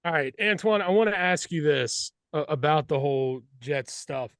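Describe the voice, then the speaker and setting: A man's voice: a little high, lively intonation, normal speed, fairly bright tone, no room sound, studio quality. A man hosting a sports show, interviewing a guest in a casual, chatty way.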